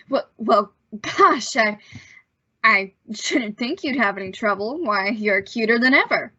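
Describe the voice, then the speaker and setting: A woman's voice: a little high, expressive, slow pace, pushed and bright, slightly rough, no room sound, dull audio, full character voice. A woman playing a bashful, hesitant teenage boy in an old-time radio drama, stammering politely. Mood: awkwardly friendly. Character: bashful, evasive returned teenage boy, gushing gee-whiz politeness.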